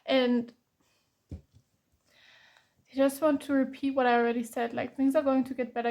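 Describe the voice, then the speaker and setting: A woman from Germany giving a casual tarot reading, hoarse with a cracking voice, reassuring and repeating herself. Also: high-pitched, a little mellow. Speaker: tarot reader.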